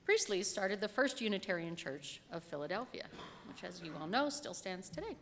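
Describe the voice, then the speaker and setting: A middle-aged woman reads a written sermon aloud from a pulpit, with measured, emphatic phrasing and dry asides. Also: medium pitch, big pitch swings, a little hiss or hum. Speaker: lay reader delivering a written sermon. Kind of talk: sermon read aloud from a script. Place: church pulpit in a large reverberant room. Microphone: gooseneck lectern microphone. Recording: reverberant hall sound.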